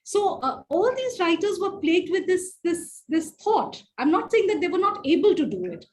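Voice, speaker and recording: A woman's voice: very high voice, sing-song, normal speed, darkish tone, a little hiss or hum. A woman in a literature discussion, answering questions politely with many fillers and restarts. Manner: polite, addresses others as ma'am.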